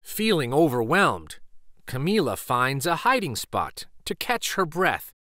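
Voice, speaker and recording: Animated, unhurried man reading a storybook. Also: on the high side, dry recording, studio quality. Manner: expressive, deliberate. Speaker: storybook reader. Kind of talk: scripted children's story read-aloud.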